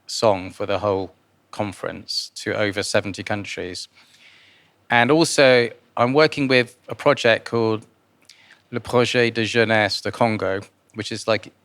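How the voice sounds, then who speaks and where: Low, clear-voiced man, halting interviewee. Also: a bit bright. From born in Guyana. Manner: hesitant, halting delivery with repeated words. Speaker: interviewee, philanthropist and investor. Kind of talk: informal interview answers.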